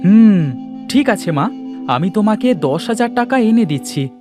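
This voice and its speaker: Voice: mid-range voice, expressive, measured pace, warm tone, soft background music, lightly acted. A performer voicing a good-hearted, humble village boy in a cartoon, eager and prone to exclaiming. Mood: earnest. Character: good-hearted, humble poor village boy who loves simple food.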